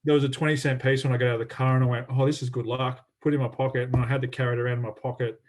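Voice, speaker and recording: A man's voice: mid-range voice, flat delivery, rapid-fire. A man, an athletics coach chatting casually on a video call, rambling with lots of fillers and restarts.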